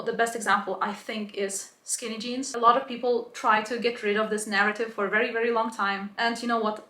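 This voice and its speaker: A woman's voice: medium pitch, a bit bright, roomy sound. A woman talking in a chatty, opinionated way, as in a casual explanatory video essay. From Lithuania. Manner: chatty, opinionated, mild swearing.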